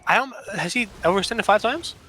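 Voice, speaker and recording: A man's voice: sits high, expressive, fast talker, sharp tone. A man giving casual live analysis and banter as a commentator on a video game match.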